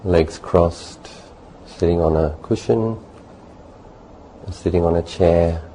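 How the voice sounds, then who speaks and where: A man leading a guided meditation as a teacher, speaking gently and unhurriedly in short phrases with long pauses. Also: low-pitched, rough recording. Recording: faint background noise.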